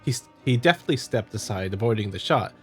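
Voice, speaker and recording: A man's voice: on the low side, lively intonation, brisk, a bit bright. Man reading a visual novel over music. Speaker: let's player. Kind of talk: casual visual novel playthrough with read-aloud narration.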